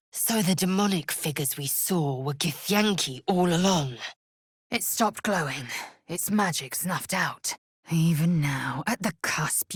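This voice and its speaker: A woman playing a fierce, proud alien warrior in a video game, speaking in a haughty, clipped, formal manner with a husky edge. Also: lowish voice, fairly bright tone, no echo, clean studio sound, exaggerated voice. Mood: proud, stern. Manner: imperious, clipped, formal phrasing. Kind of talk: video game character acting.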